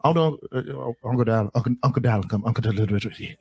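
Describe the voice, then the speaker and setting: A man co-hosting a radio show in casual banter, animated and joking. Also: medium pitch, rapid-fire, a crowd in the background. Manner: animated, joking, swings into loud stern put-on voices.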